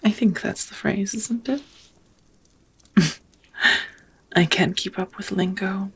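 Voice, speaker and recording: Breathy, whispering vampire woman voiced by an actress, slow. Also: mid-range voice, exaggerated voice. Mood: nervous, loving. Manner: slow, hesitant, intimate, slightly old-fashioned phrasing. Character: tender, nervous ancient female vampire confessing love. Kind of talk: whispered ASMR romantic roleplay.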